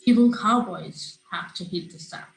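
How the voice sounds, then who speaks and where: A young woman from Nigeria, a public health student, reading a rhyming children's book aloud over a video call in a steady, friendly way. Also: lowish voice, unhurried, gravelly. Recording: roomy video-call audio with faint hiss.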